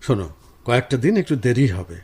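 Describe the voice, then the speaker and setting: A man's voice: mid-range voice, very animated, quick pace, a little mellow, slightly rough, no echo. A man acting a busy husband in an audio drama. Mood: soothing, evasive. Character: busy husband, placating at home, later frantic. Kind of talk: Bengali radio drama acting. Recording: music playing behind the voice.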